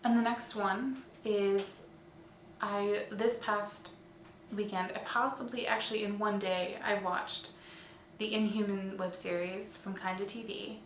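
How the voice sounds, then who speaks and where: Female vlog host, informal and hesitant, mid-pitched, recorded away from the mic in an echoey room. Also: steady tone, a little slow. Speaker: host of a weekly online show. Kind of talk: casual scripted-outline vlog commentary. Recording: roomy, distant mic, faint noise.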